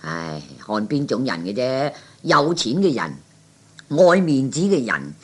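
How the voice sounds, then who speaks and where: A man's voice: mid-range voice, big pitch swings, measured pace, breathy edge. A man voicing a sly, proud but broke gambler with a Cantonese accent in a 1960s comic radio play, drawling and dismissive. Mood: scheming, smug. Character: sly, proud, broke male gambler playing big shot.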